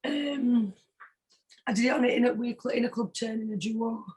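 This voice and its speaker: A British woman with a husky voice chatting casually as a singer being interviewed, informal and emphatic, often repeating herself for stress. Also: fairly high pitch, normal speed, a little mellow. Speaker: singer-songwriter guest.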